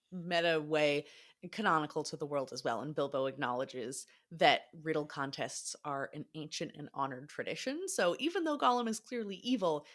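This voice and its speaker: A woman's voice: sits low, a bit bright. A woman co-hosting a podcast, retelling a story in an informal, emphatic way during casual book discussion. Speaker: podcast co-host.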